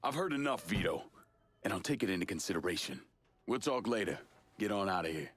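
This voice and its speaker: An actor playing a grim, vengeful gangster and war veteran, terse and blunt, in a video game. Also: male voice, sits low, animated, fairly quick, fairly bright tone, slightly rough, heavily acted. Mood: firm, businesslike. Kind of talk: video game cutscene acting.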